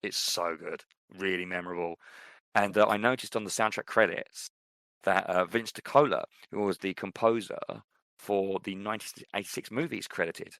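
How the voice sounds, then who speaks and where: A man's voice: low voice, normal speed, fairly bright tone, no room sound. A man co-hosting a podcast, chatting casually and rambling with many fillers about films. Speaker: podcast co-host.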